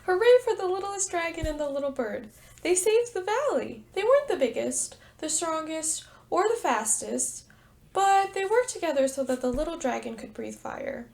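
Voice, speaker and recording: Animated, high-voiced woman reading to preschoolers. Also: normal speed, gravelly. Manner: animated, expressive, addresses young children. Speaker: preschool teacher reading a picture book. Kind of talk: children's storytime read-aloud with direct address.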